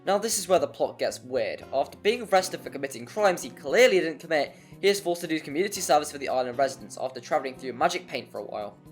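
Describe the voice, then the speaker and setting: Video essay narrator, mid pitch, informal and jokey, casual asides, background music. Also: expressive, quick pace, hardly any pauses. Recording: music under the voice.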